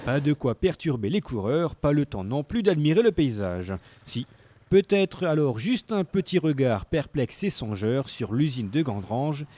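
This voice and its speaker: A man's voice: medium pitch, very animated, unhurried, warm tone. A man narrating a scripted local TV report in a playful, tongue-in-cheek manner.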